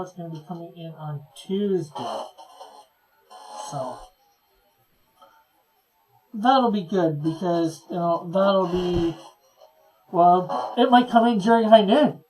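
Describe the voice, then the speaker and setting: A man hosting a live stream, chatting casually and reading comments in a halting, chuckly way. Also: sits high, darkish tone, faint music behind, rough recording.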